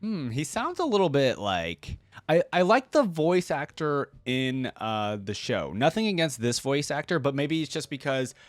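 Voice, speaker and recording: A man's voice: medium pitch, very animated, moderate pace, dry recording. A man hosting a reaction video, giving casual, informal commentary as he thinks aloud.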